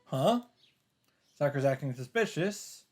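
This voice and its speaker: A man's voice: mid-range voice, expressive, unhurried, darkish tone, faint music behind. Wry man commenting on a game playthrough.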